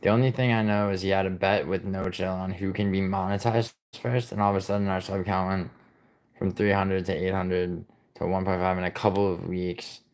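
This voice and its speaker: A man with a very deep, low voice talks loosely on a live stream, answering his viewers as their messages come in. He rambles, swears freely and keeps restarting his sentences with "like" and "I mean". He was born in Italy and raised in New York. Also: level tone, fairly quick, a little mellow. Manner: rambling and profane, with many "like" and "I mean" fillers and restarts. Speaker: livestreamer chatting with viewers.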